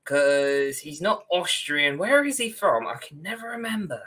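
A young British man with a bright, forward voice talks as a podcast co-host. He is informal and opinionated, rambling on in long, chatty stretches. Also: on the high side, expressive, a little slow. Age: young adult. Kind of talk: casual ranting discussion. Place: indoor room at home, on video call.

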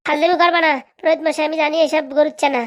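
A performer voicing an excitable, childlike villager in a comic cartoon, squeaky and with a rural Bengali accent. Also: racing, lightly acted. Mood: protesting. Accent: Bengali, rural dialect.

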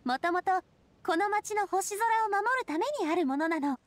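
Very high, confident plucky adventurer. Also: female voice, animated, moderate pace. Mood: confident, insistent. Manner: assured, feminine, persuasive. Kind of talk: anime-style video game voice acting.

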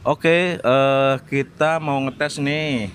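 A man's voice: medium pitch, fairly even intonation, drawn-out words, fairly bright tone. A man hosting a casual product test, speaking in a hesitant, repetitive way. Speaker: vlog host. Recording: clearly noisy, vehicle ambience.

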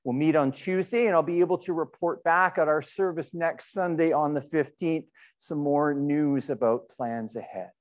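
A middle-aged man, a pastor, giving a sermon in an earnest, deliberate way with rhetorical questions and repetition. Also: on the high side, dull audio. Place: indoors in a church sanctuary.

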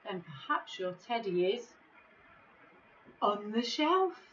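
A woman's voice: mid-range voice, big pitch swings, slow pace, a bit bright, highs cut off. A woman voicing a small, plucky, helpful storybook boy who speaks directly and boldly.

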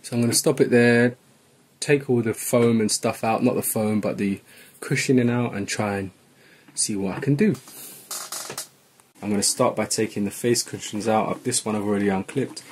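A man's voice: fairly low pitch, fairly even intonation, normal speed, slight background noise. A man talking informally through a hands-on how-to tutorial, thinking aloud as he works. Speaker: vlogger giving a how-to tutorial. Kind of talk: casual hands-on tutorial narration. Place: indoors at a wooden table in a home room.

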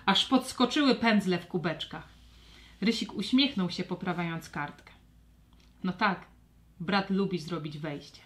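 A woman narrating a scripted children's audio story with expressive, storybook delivery. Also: on the low side, measured pace, noisy background.

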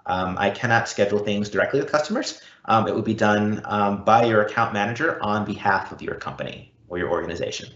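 A man's voice: on the low side, lively intonation, fairly quick, hardly any pauses, a bit bright. A man presenter giving technical explanations and answering audience questions in an online session. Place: indoor room, live online event. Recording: dull, roomy online call audio.

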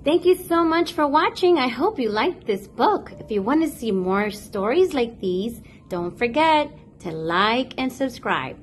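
A woman reading a picture book aloud to toddlers in a playful, expressive, sing-song manner. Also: fairly high pitch, unhurried, hardly any pauses, a little hiss or hum. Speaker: children's picture book narrator, host.

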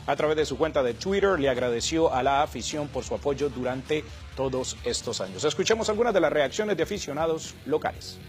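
Brisk male sports newsreader. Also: fairly high pitch, expressive, soft background music. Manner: brisk, formal newsreading delivery. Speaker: news anchor. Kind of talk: broadcast sports news.

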